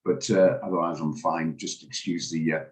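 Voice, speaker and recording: Man, very low voice, hoarse and throaty, hesitant repeated starts, live trading commentary. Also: fairly even intonation, moderate pace. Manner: hesitant, stammering restarts, cautious. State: hoarse, recovering from flu. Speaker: trading room host. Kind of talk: live market commentary on a video call.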